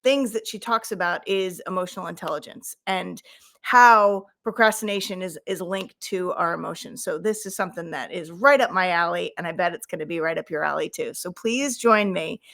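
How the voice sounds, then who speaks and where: A woman hosting an interview podcast in a chatty, enthusiastic way. She keeps affirming her guest with quick agreements. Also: on the low side, creaky, fairly bright tone. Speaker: podcast host. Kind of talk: conversational interview.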